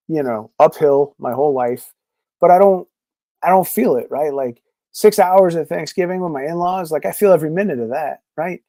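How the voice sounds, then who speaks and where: Rambling man guest with a mellow voice. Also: on the high side, no room sound. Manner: rambling, lots of fillers. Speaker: podcast guest, comic creator. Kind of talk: casual interview chat.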